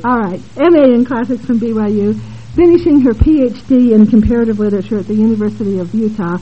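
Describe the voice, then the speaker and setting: A woman moderating a conference panel, introducing the speakers in a halting way with many fillers and asides, in a room with an audience. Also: a little high. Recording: dull lo-fi conference tape with faint hiss.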